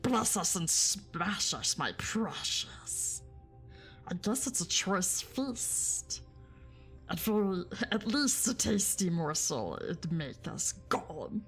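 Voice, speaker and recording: A woman's voice: fairly low pitch, sing-song, slow, fairly bright tone, soft background music, full character voice. A woman voicing a small, slimy cave creature who whispers and hisses slyly, reading a storybook aloud. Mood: wheedling. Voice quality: hissing whisper.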